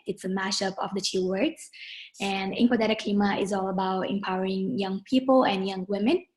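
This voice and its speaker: A woman's voice: mid-range voice, rough recording. A young Brazilian woman, a native Portuguese speaker, introducing a webinar over a video call in a friendly way with many ums. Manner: friendly, many ums and uhs, slows on key phrases. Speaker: webinar moderator and founder.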